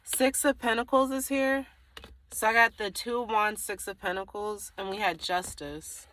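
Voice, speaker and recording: A woman's voice: mid-range voice, fairly even intonation, moderate pace, a bit bright. A woman giving a casual, improvised tarot reading to the viewer, thinking aloud in a rambling way, often trailing off and circling back. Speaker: tarot reader.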